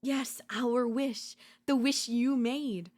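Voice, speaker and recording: A woman voice-acting an obsessive, unhinged yandere in a dark ASMR audio roleplay, theatrical and taunting, mocking and seductive. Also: a little high, steady tone, slow pace. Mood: possessive, triumphant. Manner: theatrical, taunting, swinging between intimate murmurs and intense outbursts.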